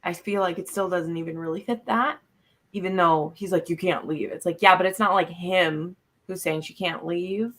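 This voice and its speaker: A woman chatting casually about books as a panelist, animated and informal, acting out her own reactions. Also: fairly low pitch, normal speed. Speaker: book club panelist.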